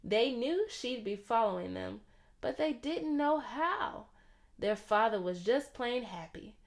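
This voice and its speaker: A woman reading a children's story aloud in an expressive, theatrical way. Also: a little high, slow pace, creaky. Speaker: storybook reader.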